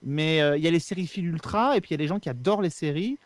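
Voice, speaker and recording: Higher-pitched man explaining in casual discussion. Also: racing, no room sound. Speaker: podcast regular.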